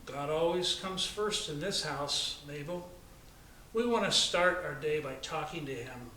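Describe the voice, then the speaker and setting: A man's voice: on the high side, expressive, a little slow, pushed and bright. A man voicing a devout, firm farm father in a children's storybook, plainly instructing. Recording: echoey, distant, noisy room recording.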